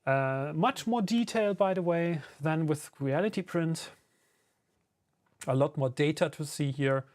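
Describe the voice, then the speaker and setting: German guy streaming a build, fairly high voice, loose and chatty, lots of ums. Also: measured pace. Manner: relaxed and conversational, many fillers, answers chat. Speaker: streamer and YouTuber building a 3D printed enclosure. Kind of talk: casual live stream chat while building.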